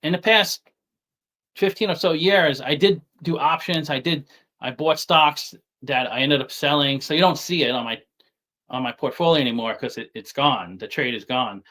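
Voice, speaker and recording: A man from New York City with a softened New York accent, talking casually as an interview guest, halting and often repeating words as he restarts. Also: fairly high pitch. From Staten Island, New York City. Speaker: guest investor.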